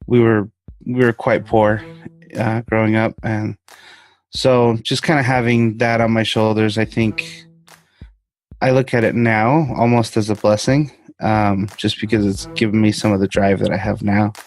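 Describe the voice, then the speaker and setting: Soft-spoken man, Spanish his first language. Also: fairly low pitch, little pitch movement, measured pace. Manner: soft-spoken, hesitant, many ums. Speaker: podcast guest. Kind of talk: reflective interview answers.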